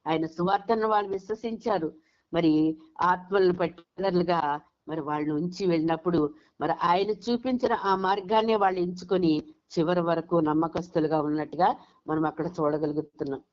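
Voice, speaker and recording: A woman's voice: on the low side, steady tone, moderate pace, a little mellow. A woman preaching a sermon over a conference call, retelling Bible stories and often restarting her phrases. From Andhra Pradesh, India. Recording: dull, lo-fi conference call audio.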